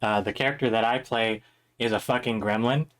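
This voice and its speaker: A young man, a little hoarse, teaching a drawing class on a live stream in a chatty, rambling way with many fillers. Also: fairly low pitch, steady tone, fairly quick, fairly bright tone. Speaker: art instructor streaming a drawing workshop.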